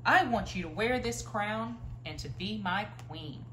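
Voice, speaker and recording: A woman's voice: mid-range voice, expressive, unhurried, pitched above the performer's own voice, close to an everyday voice. A woman playing a kindly, commanding king from a children's storybook, with stately declarations, in an indoor room. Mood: gracious. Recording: roomy echo, faint room noise.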